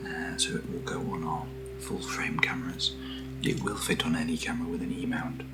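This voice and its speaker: Whispering British man, low-pitched ASMR. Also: monotone, a little fast. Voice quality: breathy, whispery. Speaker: host of ASMR unboxing videos. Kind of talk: soft-spoken ASMR, casual chat. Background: underlying music.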